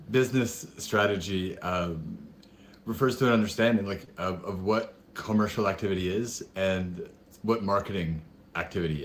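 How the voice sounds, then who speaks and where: A man with a raspy voice, speaking as a panelist on an online call, thoughtful and halting with many fillers. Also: on the low side, a little hiss or hum. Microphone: headphone mic on a video call.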